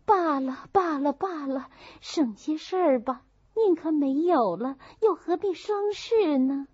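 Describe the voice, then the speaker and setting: A woman's voice: high-pitched, animated, unhurried, a little mellow, pitched above the performer's own voice. A woman voicing a meek, resigned young lady in an audiobook, hesitant and passive. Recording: muffled, dull audio.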